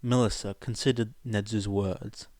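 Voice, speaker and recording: A man's voice: lowish voice, fairly even intonation, unhurried, a little mellow, dry recording, studio quality. A man reading scripted fiction as an audiobook narrator. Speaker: podfic narrator.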